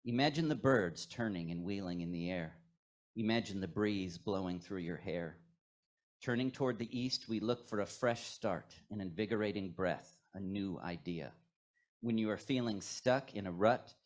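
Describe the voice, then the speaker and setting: A man leading a scripted, poetic guided meditation at a worship service, with measured, reflective phrasing. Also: on the low side. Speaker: worship leader at a fellowship.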